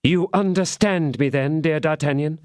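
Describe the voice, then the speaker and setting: Male reader doing a vindictive noblewoman, scheming, measured, coaxing, raised voice. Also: fairly high pitch, a little mellow, smooth, dry recording, studio quality, voice pushed up, lightly acted.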